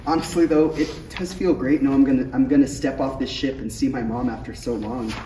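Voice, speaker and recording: A man's voice: mid-range voice, steady tone, brisk, warm tone, lightly acted. Man reading a sheepish young Jedi apprentice, echoey. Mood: earnest, excited. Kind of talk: comedic script table read. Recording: echoey room, distant mic, faint noise.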